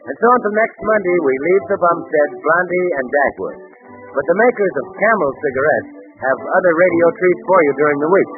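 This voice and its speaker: Man, 1930s radio announcer, fairly high voice, scripted delivery, tinny narrow-band recording, music behind. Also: expressive, fairly quick.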